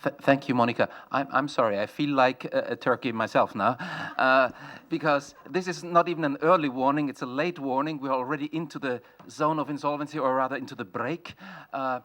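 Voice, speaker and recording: A man's voice: mid-range voice, steady tone, brisk, few pauses, fairly bright tone. A man chairing a conference panel, speaking politely with stuttered starts.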